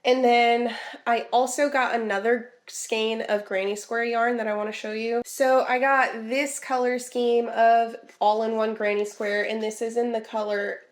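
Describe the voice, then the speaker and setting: A woman's voice: a little high, measured pace. Congested, nasal woman vlogger chatting casually. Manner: chatty, relaxed, many ums and restarts. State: congested, slightly nasal from a sinus infection. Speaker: crochet vlogger. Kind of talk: casual vlog chat.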